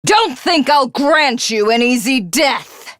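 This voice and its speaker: A voice actress playing a haughty, cruel would-be goddess, a grandiose and theatrical video game villain. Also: female voice, sits high, animated, a bit bright, slight background noise, heavily acted. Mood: fierce, exultant. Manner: grandiose, slow, archaic, menacing delivery.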